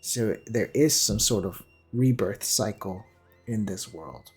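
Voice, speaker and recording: A man's voice: lowish voice, takes it slowly. A man giving unscripted, explanatory commentary on a video game, musing tentatively as he goes. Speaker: gaming commentator. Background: faint music.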